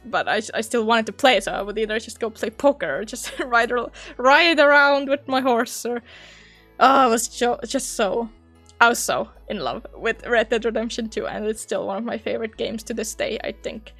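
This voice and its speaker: A young woman with a slightly high voice chats casually about her gaming channel, laughing lightly between phrases. Her voice is a little hoarse from hours of talking, and soft music plays faintly behind her. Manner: chatty, giggly, laughs often between phrases. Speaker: gaming YouTuber. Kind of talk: casual reflective vlog chat.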